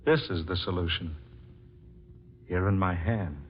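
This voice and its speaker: Suave, menacing villain on old radio. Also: male voice, low-pitched, unhurried, slightly rough. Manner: smug, theatrical, condescending. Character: suave, mocking, gun-wielding murderer and illicit lover. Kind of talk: 1940s radio horror acting. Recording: muffled vintage 1940s radio recording.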